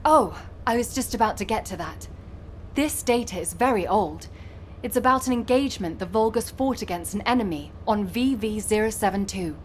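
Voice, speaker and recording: An actress voicing a composed, methodical military analyst in a science fiction video game. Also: female voice, medium pitch, animated, normal speed, a little hiss or hum, close to an everyday voice. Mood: explaining, intent. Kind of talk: video game voice acting, mission briefing.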